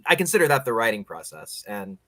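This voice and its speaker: A man's voice: fairly low pitch, big pitch swings, fairly quick, a crowd in the background. A man hosting a podcast interview in a casual, rambling, opinionated way, peppering his talk with "like". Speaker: podcast host interviewing guests.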